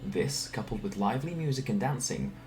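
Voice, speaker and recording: A man reading a scripted story aloud in a theatrical, expressive way. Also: fairly low pitch, a little fast. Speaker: storyteller reading fan narration. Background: background music.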